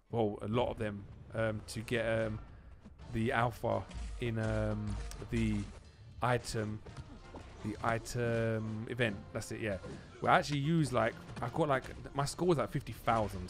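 A man giving casual, excitable gameplay commentary as a video host, often repeating phrases for emphasis. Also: medium pitch.

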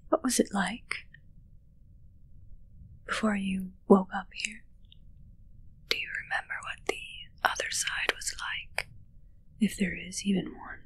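A young woman playing a calm, controlling witch in an ASMR roleplay, speaking in a slow, breathy, menacing whisper close to the mic. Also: mid-range voice, heavily acted, low-quality recording. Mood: cool, musing. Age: young adult. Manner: slow, hushed, soothing yet menacing. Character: sinister, calm, controlling witch who raises corpse familiars. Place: small dark curtained room indoors. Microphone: close mic, whispering near camera.

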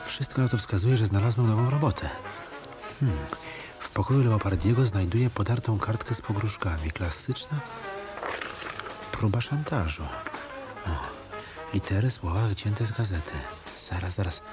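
A man plays a tough hotel detective in a radio drama. His voice sits low, and he mutters his wry, hard-boiled lines over clearly audible music. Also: moderate pace, long pauses, natural voice. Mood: brooding. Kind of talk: Polish radio play acting.

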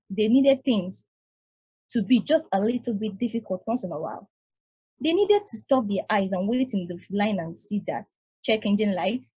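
A woman reading the part of a patient, knowing space probe in a play, measured and explanatory, over a video call. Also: fairly high pitch, mellow, slightly rough, dry recording, rough recording. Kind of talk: table read of a stage play. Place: home room, over a group video call.

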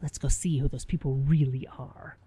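Woman acting sour, mellow-voiced small-town woman. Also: a bass voice, fairly even intonation, normal speed, breathy edge, no echo. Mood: sour, guarded. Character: sour, anxious, prim small-town woman, wary of strangers. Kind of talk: radio play acting.

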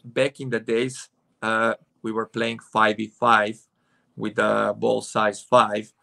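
A man's voice: on the low side, flat delivery, slow, gravelly, sharp tone. A man in his forties from Serbia with a Serbian accent, a futsal coach speaking hesitantly with many fillers on a video call from home. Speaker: futsal head coach, guest. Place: room at home with curtains.

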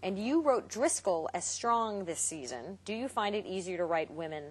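A woman hosting a chatty interview, playful and quick with a joke as she reads viewer questions. Her voice is breathy and a little husky, with a middle pitch. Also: animated. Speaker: interview host.

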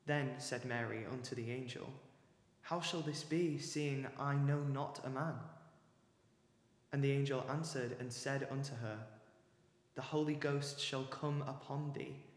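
A young man giving a solemn, measured and formal reading of scripture. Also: mid-range voice, steady tone. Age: young adult. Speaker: student reader. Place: small church or chapel, indoors. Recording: echoey church with long reverb.

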